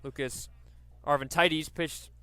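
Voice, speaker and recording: A man's voice: a little high, steady tone, slow, bright, forceful tone, clear, resonant tone. A man doing live radio play-by-play commentary of a baseball game.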